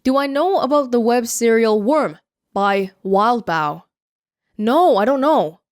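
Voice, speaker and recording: A woman's voice: a little high, lively intonation, takes it slowly, dry recording. A young woman from Canada answering viewer questions in a casual vlog, chatty and self-mocking, speaking close to the microphone. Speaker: YouTuber. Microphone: close studio mic.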